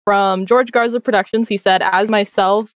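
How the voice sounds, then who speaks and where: A woman's voice: mid-range voice, fairly even intonation, moderate pace, no room sound, clean studio sound. A woman who works as a voice actor, talking casually on an online live panel. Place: online voice call.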